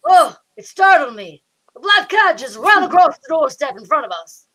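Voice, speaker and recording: A woman's voice: high-pitched, very animated, normal speed, a bit bright, rough recording. A woman playing a fussy, droll, superstitious male sidekick in a radio mystery, arch and theatrical. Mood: nervous, arch. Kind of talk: old-time radio comedy-mystery acting.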